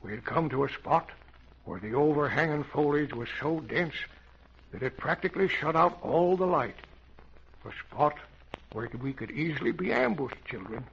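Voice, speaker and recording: A man's voice: medium pitch, lively intonation, moderate pace, fairly bright tone, slightly rough. An American man playing a bold, commanding safari captain in a theatrical old-time radio adventure serial. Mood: grave storytelling. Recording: dull, noisy vintage radio recording.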